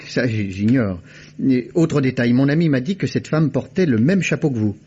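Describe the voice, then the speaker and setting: A husky, courteous investigator. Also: male voice, mid-range voice, expressive, moderate pace, warm tone. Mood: earnest. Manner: courteous, eager. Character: polite, persistent male private investigator. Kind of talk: 1950s radio drama acting. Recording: dull old radio broadcast.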